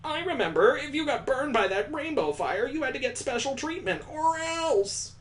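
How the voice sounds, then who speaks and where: A man voicing an excitable young schoolgirl in a visual novel, full of eager questions. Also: very high voice, moderate pace, a little hiss or hum, voice pushed up, full character voice. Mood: eager, curious.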